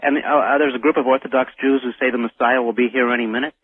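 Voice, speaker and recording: A man's voice: mid-range voice, steady tone, quick pace, slight background noise. A man phoning a radio show, chatting casually and a little tentatively over the telephone. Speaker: radio phone-in caller. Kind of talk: casual phone-in chat. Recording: thin, muffled telephone line.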